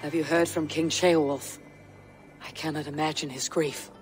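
Man doing grim blunt viking raider, fairly high voice. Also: flat delivery, soft background music, natural voice. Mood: steady. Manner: blunt, measured. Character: stern male Viking raider, grim and steady. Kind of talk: video game cutscene acting.

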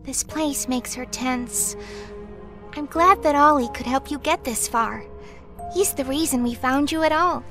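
A woman's voice: high-pitched, unhurried, over music. A woman voicing a small, earnest, determined doll in a horror game, dramatic and girlish. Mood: gentle, uneasy. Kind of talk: horror video game acting.

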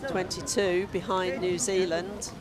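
A man's voice: high-pitched, little pitch movement, unhurried. A man giving live match commentary as a sports commentator. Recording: loud crowd and outdoor ambient noise. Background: noisy outdoor venue ambience, crowd.

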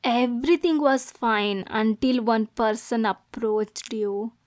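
Casting: woman to play jealous yandere girlfriend for ASMR roleplay; high voice, slow delivery, menacing yet cooing, possessive. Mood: possessive, softly menacing. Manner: swings between soft cooing and angry, degrading outbursts. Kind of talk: ASMR roleplay, dramatic monologue.

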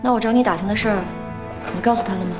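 A woman's voice: mid-range voice, very fast, over music, close to an everyday voice. An actress playing a poised businesswoman who speaks in a cool, businesslike way.

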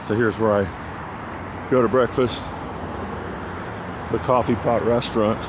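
A man giving casual, rambling, low-key vlog narration on a phone while out walking. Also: fairly even intonation, slow. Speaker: vlogger. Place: outdoors on a sidewalk beside a busy road. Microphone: handheld phone. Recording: outdoor phone recording with traffic noise. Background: passing cars, street ambience.

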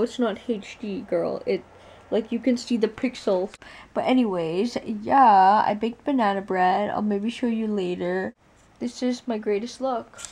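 A woman's voice: on the high side, a little mellow. Giggly young woman vlogging from bed. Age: young adult. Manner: chatty, giggly, rambling. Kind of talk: casual vlog chat. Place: bedroom, lying in bed. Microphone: camera at arm's length.

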